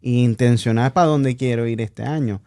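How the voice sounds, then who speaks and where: A man's voice: medium pitch, normal speed, a little mellow, dry recording, clean studio sound. A man, chatting casually and reflecting on his own life as a podcast guest. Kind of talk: casual chat, personal reflection.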